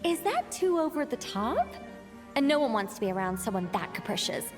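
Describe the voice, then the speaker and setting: A woman's voice: a little high, big pitch swings, fairly quick, music in the background. An actress playing a theatrical, earnest young woman storyteller in a video game, formal in diction and fussy about her tale. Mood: musing.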